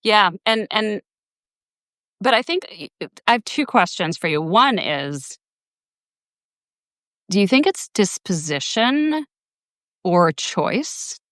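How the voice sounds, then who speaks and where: A woman in her forties talks things through in a relaxed, musing way, full of little restarts and "like"s. Her voice sits at a middle pitch and sounds dry and close, over a video call. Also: takes it slowly, long pauses, dry recording. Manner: reflective, thinks aloud with many "like" and restarts. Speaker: host. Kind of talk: casual conversation on a live video.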